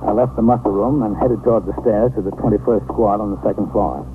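A man playing a composed, authoritative American precinct captain, measured and terse, in a scripted 1950s radio drama. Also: fairly low pitch, steady tone, natural voice. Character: composed, authoritative police precinct captain narrating his shift. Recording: noisy, narrow 1950s radio recording.

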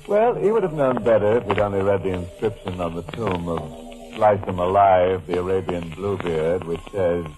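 An actor playing a gleefully morbid horror show host, sardonic and mock-sympathetic, in 1940s radio style. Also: male voice, low voice, rough recording.

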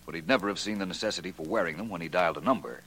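Deep-voiced, self-assured radio detective. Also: male voice, very fast, a bit bright, a little hiss or hum, low-quality recording. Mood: confident, commanding. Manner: crisp, confident, methodical explaining. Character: shrewd, self-assured male amateur detective explaining his deductions. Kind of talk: 1940s radio detective drama acting.

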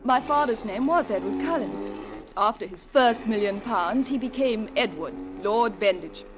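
An actress playing a rich, airy, nonchalant young lord's daughter in a 1950s radio drama. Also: female voice, fairly high pitch, lively intonation, a little slow. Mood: breezy, bored. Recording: noisy vintage radio recording.

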